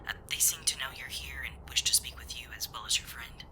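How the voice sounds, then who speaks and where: A man voicing a prim, weary hotel front desk clerk in an ASMR roleplay, formal and dryly dismissive in a breathy whisper. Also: medium pitch, fast talker, pitched above the performer's own voice, close to an everyday voice. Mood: polite.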